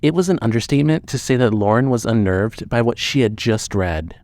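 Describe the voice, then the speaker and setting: A man's voice: medium pitch, expressive, fairly quick, darkish tone, dry recording. A man narrating a scripted audio drama and reading its credits as the narrator. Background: music under the voice.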